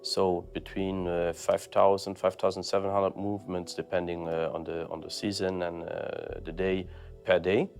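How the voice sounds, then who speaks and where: A man explaining his organisation's work in an unscripted interview, halting often as he searches for words. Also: deep voice, little pitch movement, a little mellow. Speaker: air navigation official being interviewed. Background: background music.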